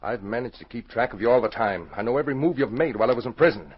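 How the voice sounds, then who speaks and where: A man's voice: mid-range voice, very fast, husky, natural voice. A man acting a hard, distrustful ex-convict in a 1940s radio drama, insistent and menacing, on a muffled old recording. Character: hard, distrustful ex-convict pressing a blackmail demand.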